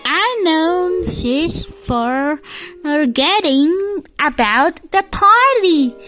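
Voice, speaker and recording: Halting, high-pitched storybook reader, childlike voice. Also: bright, forceful tone, low-quality recording. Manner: halting word by word, with stumbles and repeats. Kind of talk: read-aloud of a children's picture book.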